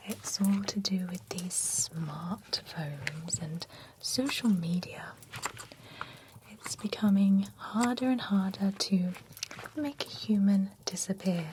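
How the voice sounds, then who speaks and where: A woman whispering as a chatty female vampire who grooms a werewolf at a spa, soft and reassuring. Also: medium pitch, noisy background, close to an everyday voice. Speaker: ASMR roleplay performer. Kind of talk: whispered ASMR roleplay.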